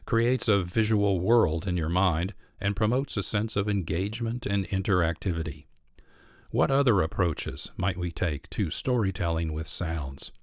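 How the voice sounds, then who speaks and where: A man's voice: deep voice, no echo, studio quality. A man hosting with scripted, explanatory narration. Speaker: host narrator.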